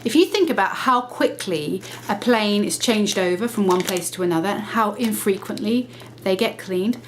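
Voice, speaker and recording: A woman's voice: mid-range voice, lively intonation. Lady chatting to her camera at home, bit stuffy from a cold, faint hiss behind her. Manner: chatty, self-correcting, brisk explaining. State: slightly nasal from a cold. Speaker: lifestyle vlogger. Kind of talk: casual talk to camera.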